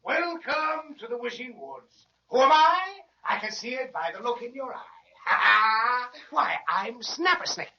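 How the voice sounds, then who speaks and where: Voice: medium pitch, lively intonation, unhurried, few pauses, slight background noise. An actor playing a vain, chatty male crocodile in an old-time children's radio serial, effusive, grandiose and self-admiring. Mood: theatrical greeting. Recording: dull lo-fi old radio recording.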